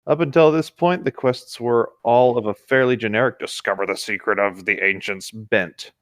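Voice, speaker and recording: A man's voice: on the low side, fairly even intonation, moderate pace, no room sound. A man reading a story aloud as co-host in a casual, conversational way, with dry asides.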